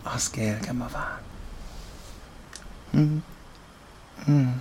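A slightly hoarse man with an Irish accent, whispering softly with gentle chuckles in an ASMR boyfriend roleplay. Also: medium pitch, extremely slow, a little hiss or hum.

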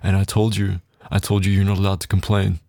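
A man's voice: level tone, brisk, gravelly, a little mellow, no echo, clean studio sound. A man performing an adult audio roleplay in a dominant, taunting tone, with heavy breaths and muttered exclamations between lines. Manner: dominant and taunting, low intimate delivery.

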